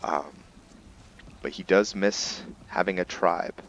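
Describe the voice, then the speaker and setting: A man's voice: low voice, slow pace, fairly bright tone, a little hiss or hum. A man giving casual roleplay commentary on a game, musing aloud and hesitating as he thinks through his character. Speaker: gaming streamer and roleplayer.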